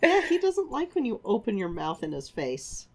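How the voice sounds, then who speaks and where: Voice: mid-range voice, huge pitch swings, moderate pace, vocal fry, darkish tone. A podcast co-host in casual conversation, reacting and interjecting with informal, wry asides.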